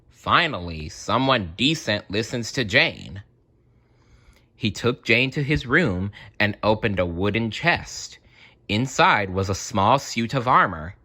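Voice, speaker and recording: A man reading a children's story aloud in an expressive, deliberate way, with casual, chatty asides. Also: lowish voice. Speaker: storybook reader.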